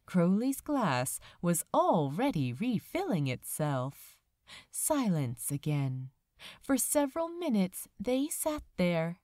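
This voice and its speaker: A woman reading fiction aloud as an audiobook narrator, dramatically, with lingering phrases and pauses. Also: lowish voice, warm tone, dry recording, studio quality. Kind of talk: expressive scripted fiction narration.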